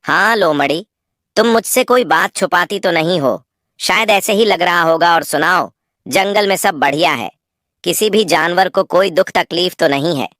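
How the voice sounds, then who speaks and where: A caring male lion king of the jungle, voiced by a woman for an animal cartoon. His voice is low and he speaks with earnest, stately dignity. The recording is dry and close. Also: moderate pace, a bit bright, no echo, natural voice. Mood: concerned, kindly. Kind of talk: children's animal moral-story cartoon.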